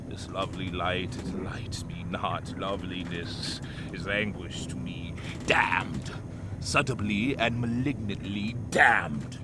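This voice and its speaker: A slow-spoken, obsessed old sea captain. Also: male voice, low voice, very noisy. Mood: bitter, anguished. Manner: grandiose, oratorical, brooding to thundering. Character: obsessed, vengeful old one-legged whaling sea captain. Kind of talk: theatrical audio drama acting.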